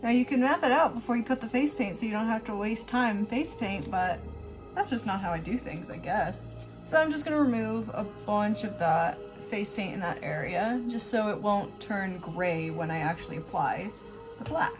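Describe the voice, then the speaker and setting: A woman's voice: medium pitch, normal speed, over music. A woman hosting a makeup tutorial in a casual, chatty style, with an offhand, self-deprecating and informal way of talking.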